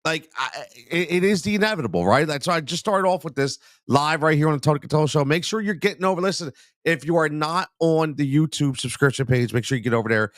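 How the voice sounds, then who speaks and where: A man's voice: on the high side, lively intonation, quick pace, fairly bright tone, dry recording, studio quality. A man with a cold hosting a live sports talk show, rambling and repeating himself, calling listeners man. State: congested from a cold.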